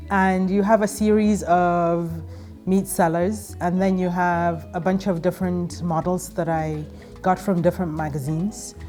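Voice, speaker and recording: A woman's voice: on the low side, little pitch movement, a little slow, warm tone, faint music behind. A woman artist from Nigeria, speaking in an interview, thoughtful and a little hesitant.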